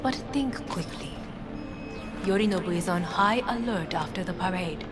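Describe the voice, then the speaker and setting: An actress playing a composed, calculating corporate heiress in a video game, with formal, measured, commanding speech. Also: female voice, on the low side, expressive, close to an everyday voice. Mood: composed, guarded. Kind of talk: video game dialogue acting. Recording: music behind the voice.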